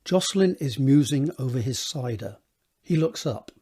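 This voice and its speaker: A man narrating scripted stage directions for an audio drama in a measured, descriptive reading. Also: medium pitch, lively intonation, warm tone, breathy edge.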